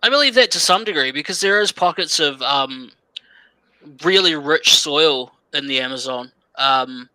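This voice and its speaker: High, very bright Kiwi male podcaster. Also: expressive, moderate pace, clear, resonant tone, no echo, rough recording. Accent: New Zealand. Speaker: podcast host. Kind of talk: casual bantering chat.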